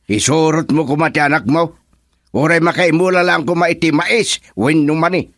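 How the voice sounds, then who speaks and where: A man's voice: fairly high pitch, normal speed, fairly bright tone, no echo, clean studio sound. An actor playing a stern older grandfather, blunt and scolding, with a Filipino accent, in a radio drama. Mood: firm, admonishing. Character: stern older grandfather scolding about his son-in-law. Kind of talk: Ilocano radio drama acting.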